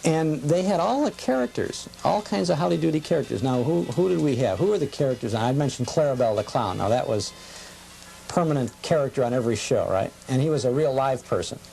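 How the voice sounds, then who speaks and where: A man's voice: mid-range voice, expressive, fairly quick, creaky, warm tone, soft background music. A man hosting a casual television interview as host and interviewer, on a noisy old tape. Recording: noisy deteriorated cable TV tape.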